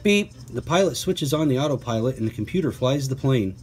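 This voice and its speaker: A man reads a children's picture book aloud, clearly and deliberately, adding chatty asides and playful questions for young listeners. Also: medium pitch, expressive, a little mellow.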